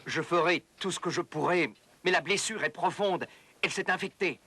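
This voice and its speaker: Earnest cartoon physician-researcher, bright, forward voice on the higher side, measured, light music behind. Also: male voice, breathy edge, heavily acted. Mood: grave, concerned. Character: earnest male military doctor and researcher, grave, thoughtful. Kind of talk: French-dubbed educational cartoon acting. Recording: music under the voice.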